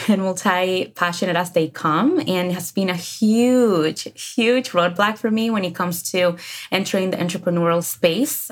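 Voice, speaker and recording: Energetic woman with a Dominican accent. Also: on the low side. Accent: Dominican Spanish. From Dominican Republic. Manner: energetic, laughs often. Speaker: guest, career coach. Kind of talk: casual interview chat.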